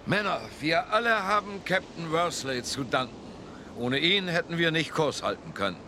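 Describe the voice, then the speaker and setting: An actor playing a considerate expedition leader in a radio play, speaking with deliberate authority. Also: male voice, fairly high pitch, animated, background noise, natural voice. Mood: solemn, proud. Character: commanding, considerate male expedition leader, the Boss.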